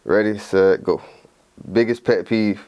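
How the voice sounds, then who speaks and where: A man's voice: on the low side, steady tone, measured pace, a little mellow, lo-fi sound. A man hosting a podcast interview, hyping his guest in casual, slang-heavy talk. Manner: laid-back slang-heavy hype talk. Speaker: podcast host.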